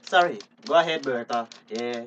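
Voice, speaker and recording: A man's voice: mid-range voice, moderate pace, fairly bright tone, muffled recording, slight background noise, close to an everyday voice. A man acting a teasing, suspicious fisheries officer in a radio drama, with a casual, slangy Belizean Creole accent.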